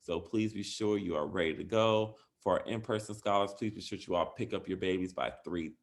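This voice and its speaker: A man reading a children's picture book aloud as a bedtime story, with animated emphasis, then giving school announcements to parents. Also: low-pitched, a little fast. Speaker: school administrator.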